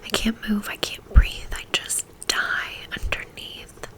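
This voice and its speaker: A woman reading the lines of a casual, cocky male rock star in a soft whisper, loose with slang. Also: slow pace, natural voice. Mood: laid-back.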